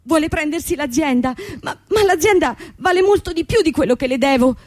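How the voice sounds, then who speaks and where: An actress in a radio play playing a young company boss, bankrupt, desperate and defiant, telling her story with halting, repeated words. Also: sits high, animated, dry recording, studio quality. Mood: indignant, desperate. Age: young adult.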